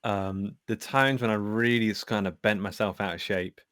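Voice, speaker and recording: A man speaking as a podcast guest in a casual conversation, reflective and candid, often halting as he works out his thoughts. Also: lowish voice, fairly even intonation, fairly bright tone, no echo.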